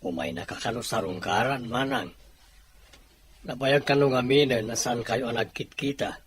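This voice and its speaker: A woman's voice: extremely low pitch, moderate pace, slight background noise. A woman playing a humble, grateful worker in a dramatized audio story. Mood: matter-of-fact. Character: humble, grateful city worker leaving for province.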